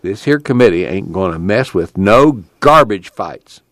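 A man's voice: lowish voice, animated, a little slow, a bit bright, no echo, clean studio sound. A man voicing a tubby, outspoken migrant worker in a storybook, blustery and salty with folksy rural speech. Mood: boastful.

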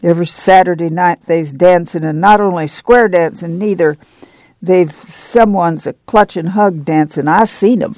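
A man's voice: sits high, measured pace, a little mellow, no echo. A man voicing a stocky, fanatically pious country woman in a story, with an emphatic, foreboding preaching manner. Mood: dark, scandalized.

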